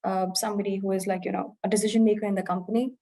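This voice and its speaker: A woman's voice: mid-range voice, little pitch movement, racing, dark tone. Earnest young woman, Indian-tinged English. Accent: Indian English, softened by years in the UK. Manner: reflective, earnest, many fillers and restarts. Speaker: interview guest. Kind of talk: candid conversational interview.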